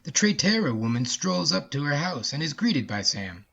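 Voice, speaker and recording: A man's voice: fairly high pitch, big pitch swings, moderate pace, creaky, pushed and bright, highs cut off. A man hosting a story podcast, speaking as an informal, easygoing storyteller. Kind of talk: story narration and casual chatty commentary.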